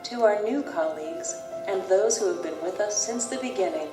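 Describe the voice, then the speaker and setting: A woman's voice: mid-range voice, lively intonation, normal speed, recorded from a distance. A woman reading the scripted narration of a company video. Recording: video played into an echoey room, with music.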